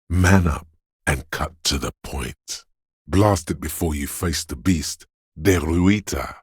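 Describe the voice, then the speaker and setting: A menacing, paranoid inner voice of raw survival instinct from a video game. It is extremely low and badly husky, goading the listener with profane, ominous warnings. The delivery is slow and deliberate. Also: male voice, no echo, studio quality, full character voice. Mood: paranoid urging. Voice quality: deep, gravelly, husky rasp. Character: menacing, paranoid primal fight-or-flight instinct speaking inside a detective's head. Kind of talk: dramatic video game voice acting, second-person inner voice.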